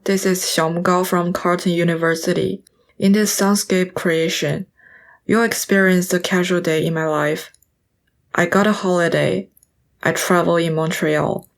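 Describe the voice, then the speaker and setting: A young woman narrates a short audio piece she made for a class. Her voice sits a little low, and her delivery is scripted, earnest and reflective. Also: steady tone, measured pace. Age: young adult. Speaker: student presenting an audio piece.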